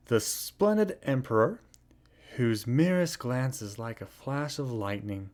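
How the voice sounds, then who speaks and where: A man's voice: mid-range voice, expressive, a little slow, a little mellow, natural voice. A man voicing good-natured, emotional brothers from a children's storybook in a theatrical way. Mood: earnest resolve. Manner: theatrical, swooping.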